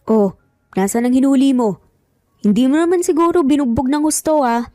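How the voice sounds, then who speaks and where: A woman's voice: sits high, moderate pace, dark tone, dry recording, studio quality, soft background music, voice pushed up, natural voice. A woman voicing a controlling, businesslike male kidnapper boss in story dialogue. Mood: questioning, stern.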